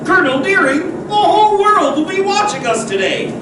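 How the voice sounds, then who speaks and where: A woman's voice: very high-pitched, lively intonation, normal speed. A woman playing a confident, gallant space-pilot hero in an old-time radio serial. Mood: eager, confident. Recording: echoey hall, distant mic, clear noise.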